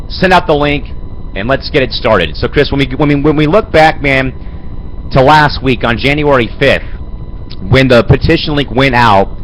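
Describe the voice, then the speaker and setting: A young man with a head cold and a raspy voice, hosting an amateur sports show and rambling with lots of fillers. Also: medium pitch, fairly bright tone, a little hiss or hum, rough recording. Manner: rambling, fills with "man" and "Chris". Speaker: host. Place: home studio.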